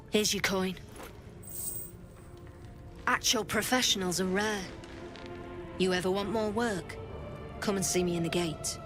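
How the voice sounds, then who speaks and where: An actress playing a blunt, threatening criminal boss, speaking in a businesslike way. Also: female voice, mid-range voice, natural voice. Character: tough criminal gang boss woman.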